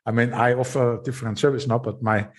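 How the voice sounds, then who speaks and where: Austrian male podcast host, mid-range pitch, restarts and stammers, chatty. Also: steady tone. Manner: halting, repeats words, laughs softly. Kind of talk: casual interview chat.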